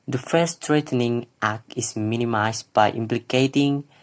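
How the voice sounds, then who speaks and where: A man's voice: medium pitch, a little slow. A young man with an Indonesian accent, a student presenting class slides who switches into Indonesian to explain, with hesitant fillers. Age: young adult. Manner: plain reading, with hesitant fillers when explaining.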